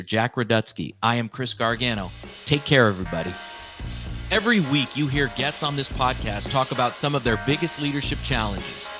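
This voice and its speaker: A man hosting a podcast, presenting in clear phrases with an affirming manner. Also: on the low side, fairly bright tone, no room sound, studio quality. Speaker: podcast host. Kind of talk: podcast interviewing and scripted outro.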